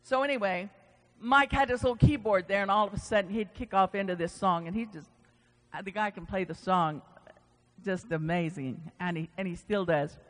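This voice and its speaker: High-voiced preacher man in a reverberant hall. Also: moderate pace, fairly bright tone. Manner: conversational, emphatic repetition, folksy asides. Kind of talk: informal sermon with scripture reading.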